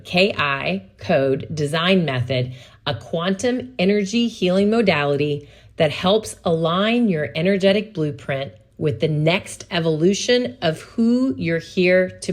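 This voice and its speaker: A woman hosting a podcast, introducing her guest with gushing, enthusiastic praise. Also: lowish voice. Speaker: podcast host.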